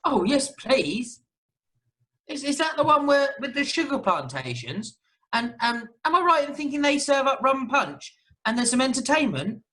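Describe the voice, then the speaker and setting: Woman acting as friendly eager cruise guest, high super lively voice, amateur radio play. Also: normal speed. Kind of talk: amateur radio drama dialogue.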